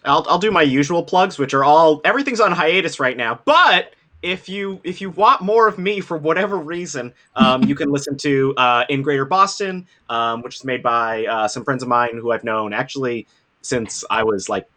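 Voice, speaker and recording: A man who works as a voice actor, chatting casually with an animated, self-deprecating manner. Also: fairly high pitch, normal speed. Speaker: voice actor, guest on a panel. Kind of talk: casual chat, plugging projects.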